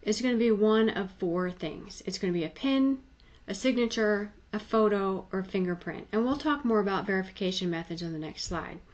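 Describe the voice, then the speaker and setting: A woman's voice: medium pitch, normal speed, hardly any pauses, darkish tone, dull audio. A woman giving a conversational, explanatory tutorial voice-over in a plain-spoken, instructive manner. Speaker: tutorial presenter.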